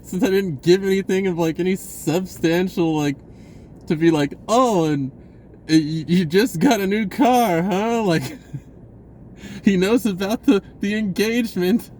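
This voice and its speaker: A man telling a personal story in a casual, rambling vlog style, acting out bits of dialogue in an animated way. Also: high-pitched, unhurried, slight background noise. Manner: animated, acts out dialogue, chuckles often.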